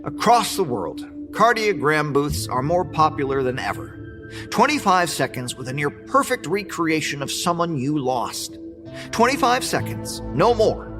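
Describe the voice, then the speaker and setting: High-pitched, grandiose showman presenter. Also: sing-song, over music. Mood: grand, showy. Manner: grandiose, dramatic pauses. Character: showy, slick male presenter, inventor's grandson. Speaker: event presenter. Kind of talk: theatrical audio drama, keynote showman.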